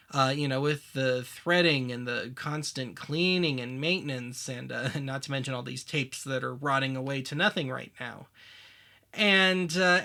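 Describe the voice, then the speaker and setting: A man's voice: medium pitch, sharp tone. A man hosting a show about vintage media, talking to viewers in a casual, informal, slightly wry way. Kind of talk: casual spoken commentary to viewers.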